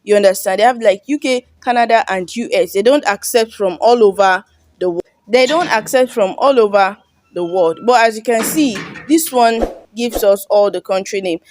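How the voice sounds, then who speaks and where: A woman's voice: mid-range voice, moderate pace, darkish tone. A Yoruba-speaking woman from Nigeria with a Nigerian English accent, casually walking viewers through an online sign-up form and often checking "you understand?". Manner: chatty, repeats "you understand?". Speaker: online tutorial host. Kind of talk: casual screen-recording walkthrough.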